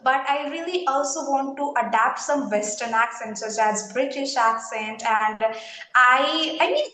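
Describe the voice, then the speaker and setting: A woman's voice: high voice, measured pace, bright, forceful tone. Hesitant Indian woman, roomy echo. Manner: hesitant, full of fillers and restarts. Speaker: English learner being interviewed. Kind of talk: casual conversation.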